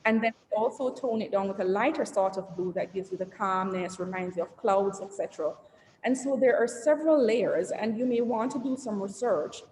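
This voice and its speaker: A woman's voice: mid-range voice, brisk, a little mellow. A woman executive giving a conversational, interactive branding presentation to entrepreneurs over a video call. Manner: conversational, asks the audience and reads the chat aloud, trails lists with "et cetera". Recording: roomy reverberant sound with faint noise.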